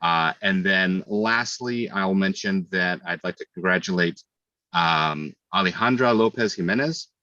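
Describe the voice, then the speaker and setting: A middle-aged man serving as a school board trustee, giving halting remarks with repeated words at a public meeting. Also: low-pitched, pushed and bright, no room sound, muffled recording.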